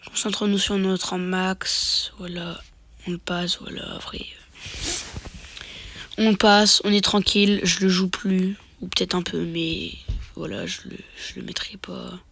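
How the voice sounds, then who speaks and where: A man's voice: high-pitched, level tone, unhurried, creaky, sharp tone. A man with a cold, sniffling as he casually commentates his own match into a phone mic, muttering half-whispered asides and mock boasts. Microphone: phone microphone.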